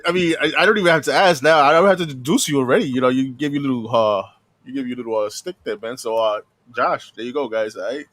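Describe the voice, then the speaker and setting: A man with a slightly hoarse voice hosting a live podcast in casual, slangy talk, often tagging sentences with "man.". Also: medium pitch, fast, fairly bright tone, no echo. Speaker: podcast host.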